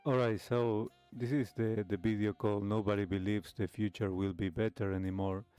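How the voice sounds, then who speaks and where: A man hosting a talk show, giving measured, explanatory commentary. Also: fairly low pitch, level tone, dark tone, smooth, dry recording, faint music behind. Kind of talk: reaction commentary.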